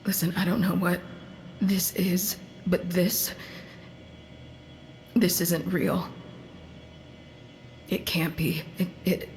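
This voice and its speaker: A frightened, halting therapist, slow-paced. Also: female voice, fairly low pitch, flat delivery, darkish tone, a little hiss or hum. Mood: disbelieving, afraid. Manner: stammering, halting. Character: frightened female dream therapist, bewildered, pleading. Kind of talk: psychological horror audio drama acting.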